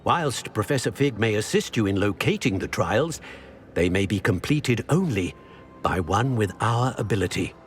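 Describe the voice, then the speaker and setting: An older actor playing a solemn ancient wizard in a video game, speaking in a formal, measured, grave manner. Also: male voice, on the low side, animated, natural voice. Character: solemn ancient wizard, keeper of secret magical knowledge. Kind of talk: video game cutscene acting. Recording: music behind.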